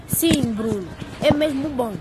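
A woman plays a childlike little boy in a radio drama, wistful and playful. The voice is high, with clear noise in the background. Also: measured pace. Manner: childlike, questioning.

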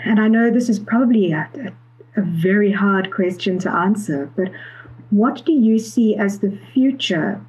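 A woman with a mid-pitched, very husky and breathy voice. She hosts an interview, putting questions to her guest in a conversational style. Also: a little mellow, rough recording. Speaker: interviewer, host.

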